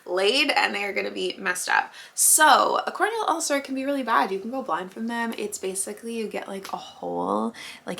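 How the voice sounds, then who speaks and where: A woman's voice: medium pitch, vocal fry, pushed and bright. A young woman rambling through her day in a casual family vlog. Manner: chatty, rambling. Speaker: family vlogger.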